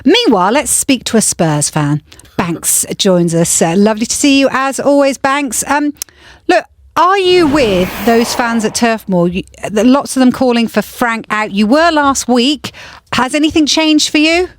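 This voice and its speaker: A woman presenting a live sports show, brisk and asking questions. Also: on the high side, lively intonation. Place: studio.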